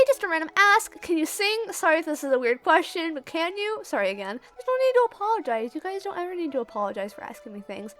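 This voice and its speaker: A woman's voice: very high-pitched, a bit bright. A woman casually reading out viewer questions and answering them in a rambling, giggly, self-deprecating way. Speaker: YouTube creator and amateur comic dub voice actor. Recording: music playing behind the voice. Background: background music.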